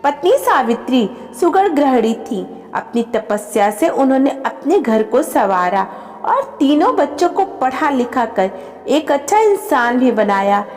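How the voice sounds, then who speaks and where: A woman's voice: high voice, very animated, unhurried, a little mellow, in a large hall. A woman narrating a scripted family story as a storyteller.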